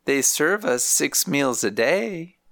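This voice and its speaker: Elderly grandmother in care, soft complaining, gravelly edge; narrator does the voice. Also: medium pitch, animated, slow, dry recording, clean studio sound, pitched above the performer's own voice, heavily acted. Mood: weary complaint. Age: older. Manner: plaintive, soft-spoken complaining. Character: frail elderly grandmother in a nursing home, weary and complaining.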